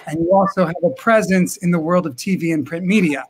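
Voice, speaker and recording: A man's voice: sits high, quick pace, husky. A man in his forties hosting a live video-call interview from home, enthusiastic and full of fillers. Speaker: host. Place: home room with brick wall. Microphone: video call webcam.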